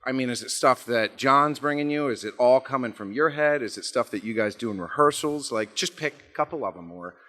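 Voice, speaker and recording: Guy hosting a casual interview, bright voice, mid pitch, affirming stuff. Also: brisk, hardly any pauses. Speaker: interviewer and host.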